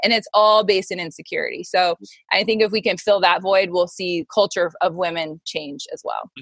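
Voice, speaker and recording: A woman's voice: lowish voice, steady tone, moderate pace, gravelly, fairly bright tone, breathy edge, dry recording. A woman in her thirties talking casually as an interview guest, candid and emphatic, sprinkling in "like" and voicing quotes as she goes. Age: 30s. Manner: candid, emphatic, peppers talk with "like" and acted-out quotes. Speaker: interview guest, modeling agency founder and mentor.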